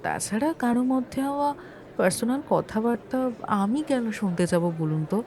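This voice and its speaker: A woman's voice: a little high, animated, brisk, darkish tone. An actress playing a landlady testifying in a courtroom audio drama, chatty, hesitant and a little defensive about her propriety. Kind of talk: Bengali audio-story courtroom acting.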